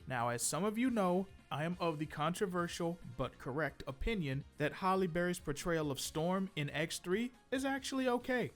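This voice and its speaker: A man hosting a comic book video, reading scripted commentary in a conversational, opinionated way with joking asides. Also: fairly high pitch. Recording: music behind the voice. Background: background music.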